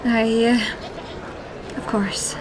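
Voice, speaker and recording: A woman's voice: a little high, little pitch movement, very slow, a crowd in the background, noisy background. A woman playing a nervous, evasive young female thief in a fantasy audio drama, speaking hesitantly. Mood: guarded. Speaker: voice actor.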